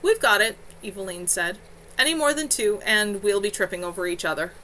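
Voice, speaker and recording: A woman narrating a novel as its author, in an expressive storytelling style with animated dialogue. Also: medium pitch, fairly quick, slight background noise. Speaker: author reading own novel. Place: at home on a live stream.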